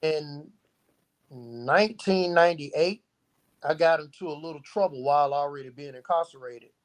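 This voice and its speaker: Middle-aged male interviewee, slightly high voice, casual and reflective delivery. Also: normal speed, poor audio. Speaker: interview guest, founder of a youth organisation.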